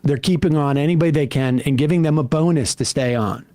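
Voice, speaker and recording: An American man in his late fifties or older giving casual political commentary as a show host, halting and wry. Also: fairly high pitch, warm tone, no echo. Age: late 50s or older.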